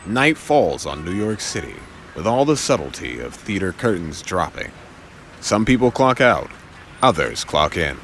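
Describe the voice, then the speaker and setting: A man's voice: low-pitched, big pitch swings, measured pace, fairly bright tone, slight background noise. A man narrating an audio drama from a script in a wry, theatrical way.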